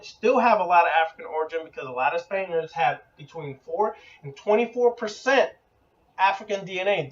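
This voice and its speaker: A man with a fairly high voice lectures bluntly and swears freely, hammering home points with rhetorical questions. He sounds set back from the microphone, and the sound is dull and lo-fi. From Puerto Rican heritage. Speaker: occult teacher. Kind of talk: profane lecture. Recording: distant, muffled lo-fi recording.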